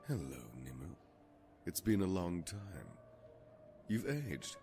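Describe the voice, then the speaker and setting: Man playing very deep, world-weary immortal. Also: big pitch swings, takes it slowly, warm tone, soft background music, full character voice. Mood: wry, grim. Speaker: voice actor. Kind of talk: dramatic audio drama dialogue.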